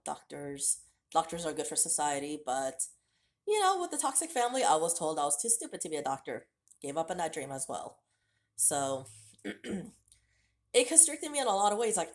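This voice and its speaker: A woman, telling her life story candidly to her viewers in a casual vlog. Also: lowish voice. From United States. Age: late 30s. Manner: candid and conversational, direct address to viewers. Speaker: vlogger. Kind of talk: personal storytelling to camera.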